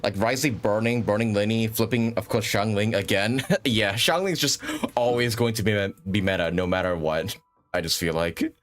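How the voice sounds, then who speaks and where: Low-voiced man chatting on a game stream. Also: normal speed, a bit bright. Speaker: livestream host. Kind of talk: casual gaming stream commentary.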